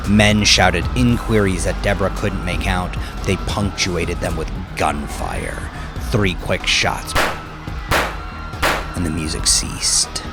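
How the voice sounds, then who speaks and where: Deep voiced guy reading a gritty crime story, grave and suspenseful, music playing under him. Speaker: narrator. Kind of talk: dramatic scripted narration of crime fiction. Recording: music bed under narration.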